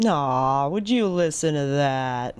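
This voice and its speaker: A woman's voice: low voice, lively intonation, slow pace, slight background noise. A woman playing a brave, wry wasteland wanderer in an audio drama, casual and matter-of-fact. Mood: amused, relaxed. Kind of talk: post-apocalyptic audio drama acting.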